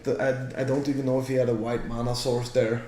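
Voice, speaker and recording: Flemish male co-caster, chatty and easygoing, native Dutch, average pitch, reverberant room. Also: quick pace, a little hiss or hum. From Belgium. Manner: relaxed, chatty, reads viewer comments. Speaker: stream commentator. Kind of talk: casual play-by-play commentary. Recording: roomy echo.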